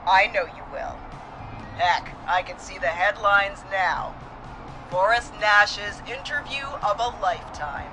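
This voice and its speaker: A woman's voice: mid-range voice, slow pace, over music, natural voice, rough recording. A woman playing a confident, folksy small-town police deputy, speaking with casual resolve in a dramatic scene. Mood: brisk, determined. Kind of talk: dramatic game voice acting.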